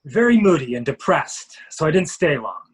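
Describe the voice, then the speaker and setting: A courteous army major acted by a man, husky and fairly high in pitch. He talks politely and a little hesitantly, with an apologetic air, in a mystery drama reading. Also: sing-song, moderate pace. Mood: sheepish, evasive.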